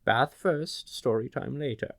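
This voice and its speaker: A man voicing a fond, croaky green hag mother in a fantasy storybook. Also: medium pitch, unhurried, a bit bright, no room sound, voice pushed up. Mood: firm, fond. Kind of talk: fantasy storybook character acting.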